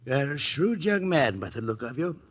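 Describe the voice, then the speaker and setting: Old attorney in his seventies, parchment-dry cracking voice, medium pitch, formal, coldly menacing, 1940s radio drama. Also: big pitch swings, moderate pace, breathy edge. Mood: coldly threatening. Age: 70s. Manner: formal, precise, veiled threats. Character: dried-up, shrewd, menacing old male attorney, about seventy.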